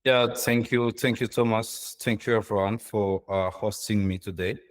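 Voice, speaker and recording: A man from East or Central Africa presenting his own work as a guest on a video call, in earnest, halting, non-native English phrasing. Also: on the low side, steady tone. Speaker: guest speaker, social entrepreneur.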